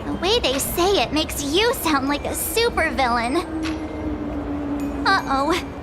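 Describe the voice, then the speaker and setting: Small anxious worrier, game role: very high, fretful, over loud music. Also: female voice, big pitch swings, slow, exaggerated voice. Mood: worried. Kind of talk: video game voice acting.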